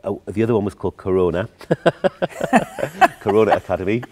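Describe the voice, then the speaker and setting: A man's voice: lowish voice, sing-song, moderate pace, slight background noise. A middle-aged man with a Geordie accent, a choreographer chatting in an interview, telling his story with restarts and laughs. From Newcastle, England. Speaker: guest choreographer. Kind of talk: casual interview chat.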